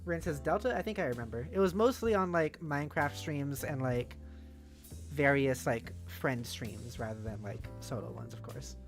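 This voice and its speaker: Streamer chatting casually on a livestream, animated, mid pitched voice, music in the background. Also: moderate pace. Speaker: streamer host. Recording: music playing behind the voice.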